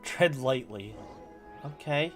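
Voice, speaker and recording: A man's voice: medium pitch, animated, slow, a bit bright. A man streaming a video game, giving casual, offhand muttered commentary and reactions. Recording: game music behind.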